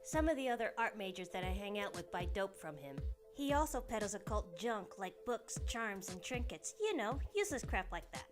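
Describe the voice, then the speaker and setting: A woman's voice: medium pitch, big pitch swings, moderate pace, music in the background, close to an everyday voice. A woman acting a brash, wisecracking female investigator. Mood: sardonic, blunt. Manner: blunt, slangy, sardonic. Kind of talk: audio drama acting.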